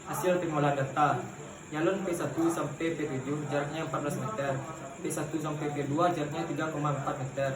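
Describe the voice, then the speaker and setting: Young man reading figures in an echoey room. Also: a little high. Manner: reads calculations in a flat measured recitation, with small restarts. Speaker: student presenting a report. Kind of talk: reading out a surveying report. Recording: echoey room, distant mic, noisy background.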